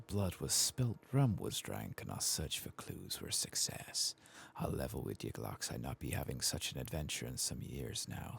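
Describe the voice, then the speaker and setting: Deep, gravelly pirate admiral softly read by a man. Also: slight background noise, heavily acted. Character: hearty, rum-loving male pirate admiral. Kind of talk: ASMR reading of game quest text.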